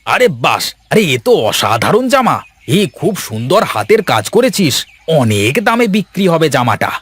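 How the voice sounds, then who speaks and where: A man playing an excitable, friendly village clothes shopkeeper in a cartoon, jovial and full of exclamations. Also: on the high side, moderate pace, slightly rough, no echo, heavily acted. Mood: amused, amazed. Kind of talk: Bengali moral-story cartoon voice acting.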